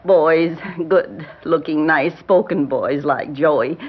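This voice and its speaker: A woman's voice: deep voice, takes it slowly, slightly rough, exaggerated voice. An older woman acting in a classic radio drama as a genteel, defensive grieving grandmother, with a prim, hesitant manner. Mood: hesitant, evasive. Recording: dull vintage radio recording with music.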